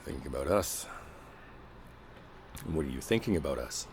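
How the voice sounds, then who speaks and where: A man playing a frustrated, wounded boyfriend in a one-sided audio roleplay, talking in a naturalistic, halting way with a husky voice. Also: a bass voice, expressive, a little hiss or hum. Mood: subdued, guarded. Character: frustrated, wounded boyfriend arguing with his partner.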